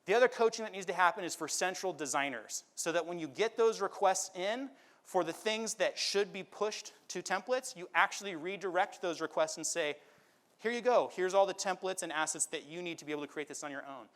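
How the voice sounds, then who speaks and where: A man's voice: high voice, fairly quick, fairly bright tone. A man giving a conference presentation in a persuasive way, often checking with the audience. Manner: persuasive, often ending with "right?". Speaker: conference speaker.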